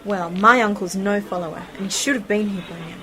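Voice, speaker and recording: A woman's voice: medium pitch, brisk, crowd noise, natural voice, poor audio. A woman playing a curious, sceptical young niece in an amateur science fiction radio play, speaking in a questioning, matter-of-fact way. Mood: insistent, practical.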